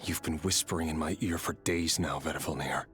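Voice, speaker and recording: A man playing a brooding, weary soldier in a video game, speaking in a grave, measured way. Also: extremely low pitch, fairly even intonation. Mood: bitter, tense. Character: brooding, weary young male soldier torn between duty and mercy. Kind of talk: dramatic video game acting. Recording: game music behind.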